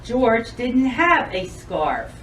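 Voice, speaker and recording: A woman's voice: on the high side, big pitch swings, extremely slow, fairly bright tone, far from the mic, a little hiss or hum. A woman reading a children's storybook aloud as a storytime reader, expressively and addressing the young listeners directly.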